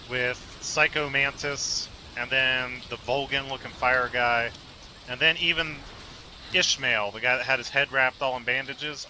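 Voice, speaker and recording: A man's voice: mid-range voice, slight background noise, low-quality recording. A man giving casual, rambling gameplay commentary, thinking aloud as he goes. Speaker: game commentator. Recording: loud game music behind the voice. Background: game soundtrack.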